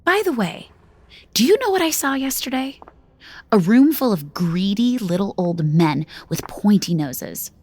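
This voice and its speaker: A woman's voice: medium pitch, big pitch swings, a little slow, darkish tone. An actress playing an outspoken, wry noblewoman with a sardonic, challenging way of talking in an audio drama. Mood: exasperated, pointed. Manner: sardonic, challenging, casual modern phrasing. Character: outspoken, wry noblewoman, sharp-tongued and stubborn.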